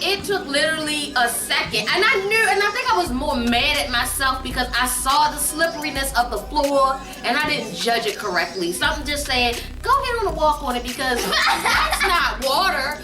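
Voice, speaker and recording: A high, very lively woman's voice tells a casual, comic story with exaggerated emphasis. She repeats phrases as she goes, recorded in a roomy space away from the mic with music playing behind her. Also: moderate pace, few pauses, recorded from a distance. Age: adult. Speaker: vlogger telling a family story. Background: background music.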